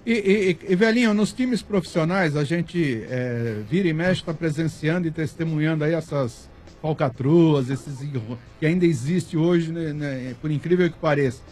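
A man talks football on radio, emphatic and slangy, stacking fillers as he goes. His voice sits a little high for a man. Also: moderate pace, a little mellow, a little hiss or hum. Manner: emphatic, colloquial, many fillers. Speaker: sports radio commentator. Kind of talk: casual sports talk.

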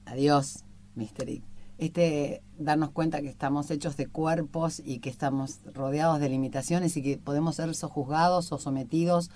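A woman's voice: deep voice, steady tone, normal speed, dark tone, slight background noise. A woman with an Argentine Rioplatense accent hosting a conversational interview, effusive and rambling in long-winded questions. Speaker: radio show host and interviewer.

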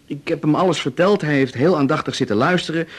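An actor playing a practical, slightly irritable husband in a radio drama, talking in a terse, matter-of-fact way. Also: male voice, a little high, fast talker, close to an everyday voice. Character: practical husband, curt, slightly irritable, advising his wife. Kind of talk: 1980s radio drama acting.

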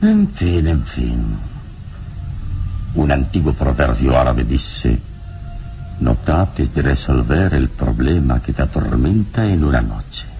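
An actor playing a calm, wise heroic adventurer in a radio drama, speaking in a measured, philosophical way. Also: male voice, extremely low pitch, creaky, a little hiss or hum, full character voice. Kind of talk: classic radio drama acting.

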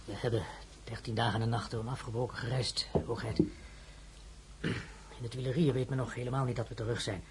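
An actor playing an exhausted, subdued officer in a radio drama, formal and hushed. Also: male voice, mid-range voice, little pitch movement, normal speed, noisy background, close to an everyday voice. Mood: weary, subdued. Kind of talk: Dutch-language radio drama acting.

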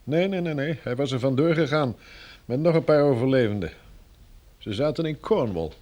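A man's voice: medium pitch, lively intonation, normal speed, warm tone, natural voice. Radio drama pilot, played by a man: courteous, affable fellow survivor with a mid-range voice and explanatory manner. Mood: informative. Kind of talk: Dutch radio drama acting.

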